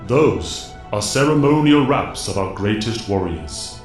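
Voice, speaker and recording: An actor playing a wise, noble alien mentor in an audio drama, speaking solemnly and ceremonially. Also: male voice, on the low side, animated, a little slow. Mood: solemn, proud. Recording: echoing voice over music.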